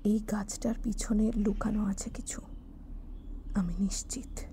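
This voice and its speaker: A woman playing an uneasy, watchful female investigator in an audio drama, speaking in a hushed, secretive way. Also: medium pitch, flat delivery, measured pace, slight background noise, close to an everyday voice. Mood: hushed, certain.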